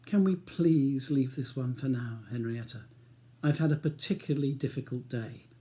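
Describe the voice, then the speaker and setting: A man's voice: mid-range voice, normal speed, mellow, natural voice. A man reading a novel, voicing a defensive, weary English barrister husband with an indignant, formal way of speaking.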